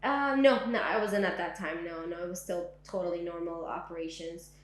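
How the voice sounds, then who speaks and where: A woman's voice: fairly low pitch, normal speed, echoey room. A young woman from Syria in a living room, recalling her past in an oral history interview, speaking reflectively with many "like"s and "um"s. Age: young adult. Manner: reflective, frequent "like" and "um".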